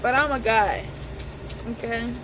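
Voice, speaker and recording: A man with a high voice giving a casual tarot reading at work, chatty, swearing now and then, repeating himself. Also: a little slow, background noise. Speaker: tarot reader.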